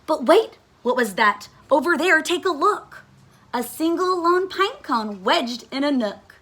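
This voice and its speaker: A woman reading a children's storybook aloud in an expressive, dramatic way with deliberate, emphatic phrasing. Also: sits high.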